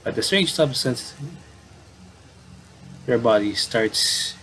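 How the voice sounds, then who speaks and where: A man doing casual game commentary and reading story text aloud, haltingly and with repeated words. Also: medium pitch, animated, fairly bright tone. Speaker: gaming video commentator. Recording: clearly noisy home recording.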